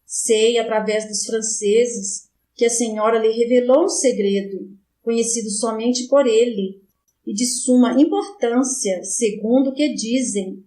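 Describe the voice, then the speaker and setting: A woman voicing a stern older male cardinal who coaxes and threatens, in a measured, solemn audiobook reading. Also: a little high, fairly even intonation, mellow, close to an everyday voice. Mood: demanding. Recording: roomy echo.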